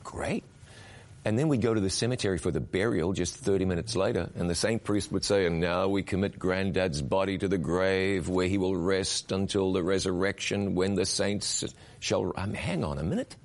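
A man's voice: low-pitched, normal speed. A middle-aged man, a guest telling his story in an earnest, reflective way. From New Zealand. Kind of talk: interview conversation.

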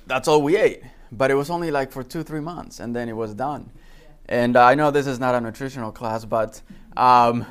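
A man from the Republic, teaching informally as a gardening instructor and often repeating words as he goes. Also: mid-range voice. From Dominican Republic. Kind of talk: informal lecture with personal anecdotes.

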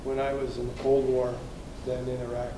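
A man's voice: mid-range voice, completely flat delivery, normal speed, background noise. An American man in his fifties giving an earnest personal testimony as a guest speaker in a church hall. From United States. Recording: echoey room, from far off.

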